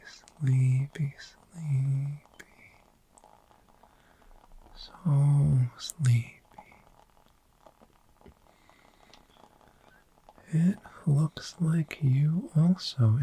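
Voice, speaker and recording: A performer voicing a gentle, friendly dragon in human form for a sleep ASMR roleplay, in a soft breathy whisper with unhurried, soothing pauses. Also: medium pitch, full character voice, low-quality recording. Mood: tender, drowsy.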